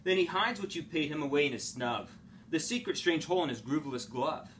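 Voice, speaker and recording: A man's voice: on the high side, lively intonation, brisk, fairly bright tone, slight background noise. A man reading a children's story aloud like a teacher, expressively and with dramatic phrasing.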